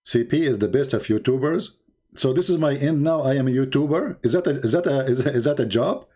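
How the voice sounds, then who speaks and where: A man's voice: medium pitch, a little fast, warm tone. A man with an Arabic accent hosting a live debate stream, taunting and sarcastic, laughing at his own jokes. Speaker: livestream debate host. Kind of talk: mocking livestream debate.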